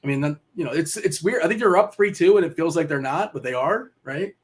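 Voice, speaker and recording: A man with a fairly high voice co-hosts a live betting stream. He talks fast and rambles through fillers, quick to laugh as he riffs about teams and shows. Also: lively intonation. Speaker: betting show co-host. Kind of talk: casual live-stream chat.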